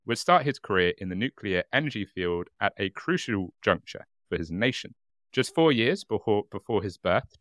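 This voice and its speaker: Bright-voiced giggly man podcast host. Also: sits low, no echo, studio quality. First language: English. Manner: giggly, self-deprecating, reads narration. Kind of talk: casual chat and reading a case.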